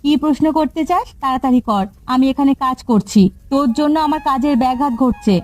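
একজন নারী কার্টুনে এক ব্যস্ত, খিটখিটে গ্রামের মায়ের চরিত্রে ধমকের সুরে কথা বলছেন।. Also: female voice, high-pitched, brisk, a little mellow, dry recording, close to an everyday voice. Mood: irritated, scolding. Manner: snappish, scolding. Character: irritable, busy village mother. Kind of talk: Bengali cartoon acting. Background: background music.

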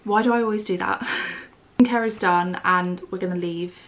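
A young woman in her twenties, husky from feeling unwell, chatting casually to a handheld camera with lots of fillers. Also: lowish voice, fairly even intonation, moderate pace. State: unwell, voice going. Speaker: vlogger. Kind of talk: casual vlog chat to camera. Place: bedroom indoors, then outdoors in countryside. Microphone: handheld camera at arm's length.

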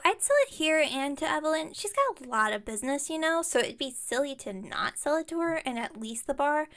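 A woman's voice: high voice, fairly bright tone. A woman playing an enthusiastic, scatterbrained young witch shopkeeper in an ASMR roleplay, chatting and rambling to the listener. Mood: chatty, eager. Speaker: voice actor in audio roleplay. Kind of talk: ASMR roleplay, one-sided conversation with listener.

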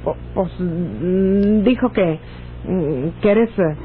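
An actress with a northern Mexican accent playing a naive, anxious young country sweetheart with rustic speech in a radio drama. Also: female voice, on the low side, lively intonation, very slow. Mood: flustered, nervous. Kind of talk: old-time radio drama acting. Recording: tinny, noisy old radio recording.

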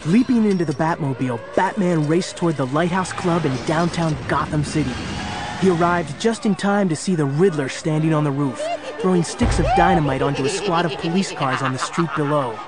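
Higher-voiced eager sidekick narrator played by a man. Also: brisk, over music, close to an everyday voice. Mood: earnest storytelling. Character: eager young male sidekick telling case history. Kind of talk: dramatic scripted narration.

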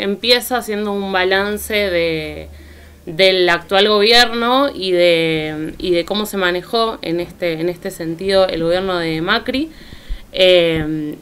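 A woman's voice: medium pitch, a bit bright, smooth. An Argentine woman giving informal political commentary as a columnist on a radio show. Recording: music bed under the voice. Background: music.

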